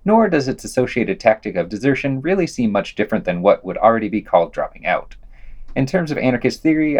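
A man reading scripted podcast reviews in an analytical, wordy and measured way. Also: mid-range voice.

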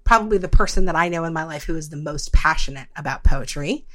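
A woman's voice: low-pitched, fast, fairly bright tone. A woman hosting a podcast, moving between reading a prepared piece and chatting. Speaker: podcast host. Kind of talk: reading an essay and chatting with a guest.

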